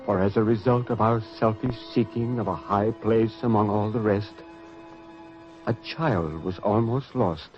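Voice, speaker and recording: A man acting a humble, remorseful disciple in a religious radio drama, solemn and measured. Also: fairly low pitch, natural voice. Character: humble, remorseful male disciple confessing guilt. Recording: muffled radio recording with music.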